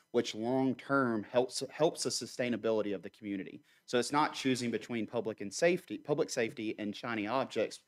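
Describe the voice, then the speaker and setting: A man making a measured, earnest argument during public comment at a city council meeting. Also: mid-range voice. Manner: earnest, measured argument with deliberate slow stretches. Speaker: community organization leader speaking at council meeting.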